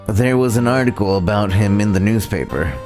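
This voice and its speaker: A man's voice: lowish voice, natural voice. A man playing an earnest young attorney, music behind. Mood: somber. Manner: halting, stumbling over lines. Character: earnest young male defense attorney, loyal, dryly wry. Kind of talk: reading visual novel game dialogue aloud.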